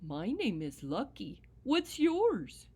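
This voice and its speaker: A woman voicing a cheerful, chatty female dog in a storybook, in a bubbly soft manner. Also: mid-range voice, wildly swooping pitch, slow pace, slightly rough, heavily acted. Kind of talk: storybook character voice.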